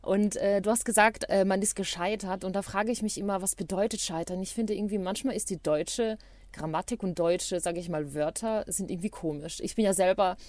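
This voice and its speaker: A woman's voice: mid-range voice, brisk, a little mellow, no room sound. A woman co-hosting a podcast, speaking German that is not her first language, enthusiastic and reflective in casual chat. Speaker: podcast co-host.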